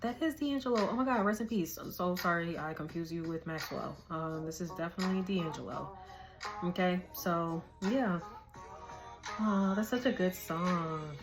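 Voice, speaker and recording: A woman's voice: lowish voice, moderate pace, music in the background. A woman, giving a casual, slangy tarot reading and thinking aloud as she goes. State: hoarse. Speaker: tarot reader.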